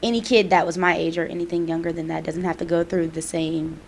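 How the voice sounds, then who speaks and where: A young woman co-hosting a student podcast in casual chat, emphatic and animated, full of "like" fillers. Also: fairly low pitch, quick pace, vocal fry. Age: young adult. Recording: faint background noise.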